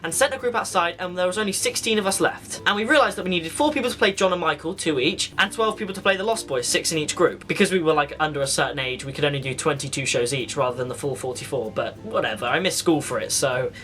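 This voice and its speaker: Teenage male, very quick pace, chatty, enthusiastic, emphatic, casual vlog storytelling. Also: fairly high pitch, bright, forceful tone. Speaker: vlogger. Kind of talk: casual anecdotal vlog chat.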